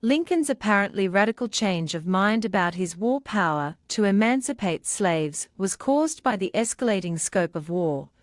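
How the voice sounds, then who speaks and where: A woman reads a formal passage aloud as practice for a language exam. She has a medium-pitched voice, recorded dry with hardly any room sound. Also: animated, moderate pace, few pauses, no room sound.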